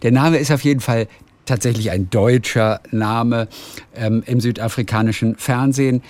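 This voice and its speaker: A man's voice: mid-range voice, normal speed, a little mellow. A man interviewing a guest in a casual, friendly way, asking curious follow-up questions.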